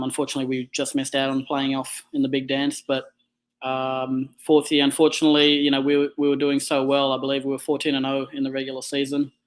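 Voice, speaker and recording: A man's voice: medium pitch, low-quality recording. A young Australian man in his twenties, talking casually as an athlete guest in an interview. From Darwin, Australia. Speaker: college wheelchair basketball player, guest.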